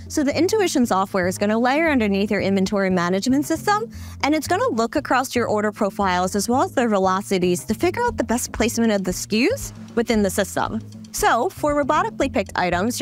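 A young woman presenting a product demo on a warehouse floor, with a polished, upbeat sales delivery. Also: a little high. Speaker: company presenter. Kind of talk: scripted product demo tour.

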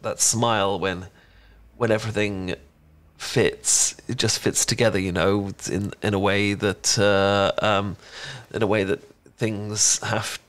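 A man's voice: fairly low pitch, a bit bright. Bashful, stammering British chocolatier played by a man. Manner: stammering, awkward, rambling, hesitant. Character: adorkable, bashful young male chocolatier confessing a crush. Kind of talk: intimate ASMR romance roleplay.

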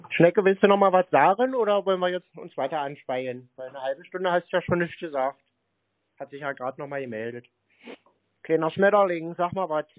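Mocking, higher-pitched man on phone recording. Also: dry recording. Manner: mocking, crude, cheeky pet names. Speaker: scambaiting prank caller and show host. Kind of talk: teasing prank phone call. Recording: dry, band-limited phone-call recording.

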